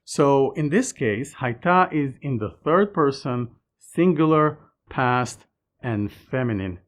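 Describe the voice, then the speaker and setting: Calm man explaining Hebrew words. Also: mid-range voice. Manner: calm, explanatory, measured delivery. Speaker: Hebrew teacher. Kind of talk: scripted educational narration.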